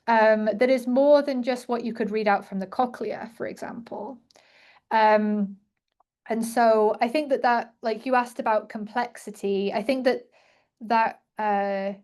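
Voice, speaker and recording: A woman's voice: on the high side, a little mellow. A young adult woman answering questions after an academic talk, thinking aloud with many fillers and restarts. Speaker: invited speaker answering questions.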